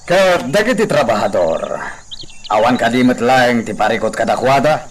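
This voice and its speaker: A man's voice: mid-range voice, animated, normal speed, lightly acted. A man playing an authoritative, well-off hacienda master with a Filipino accent in a radio drama. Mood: approving. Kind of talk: Ilocano radio drama acting.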